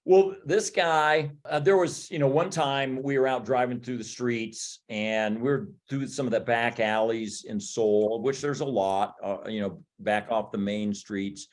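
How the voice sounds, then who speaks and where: A man chats on a podcast, winding through old stories with plenty of restarts and fillers. His voice sits in an ordinary middle pitch. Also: steady tone, a little slow, hardly any pauses. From California. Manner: rambling anecdotes with many restarts and fillers. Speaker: podcast guest. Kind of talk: casual storytelling chat.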